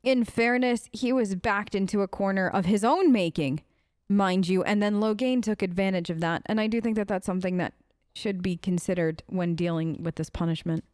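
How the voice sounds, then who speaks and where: A woman's voice: mid-range voice, moderate pace, a little mellow, no echo, clean studio sound. A woman hosting a let's play, improvising role-play dialogue and commentary in an informal, conversational way.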